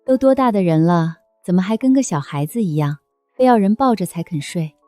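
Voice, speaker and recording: An actress playing a steady, reassuring woman in a family drama, lightly chiding in manner. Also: lowish voice, a little fast, no echo, faint music behind, close to an everyday voice. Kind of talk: scripted drama acting.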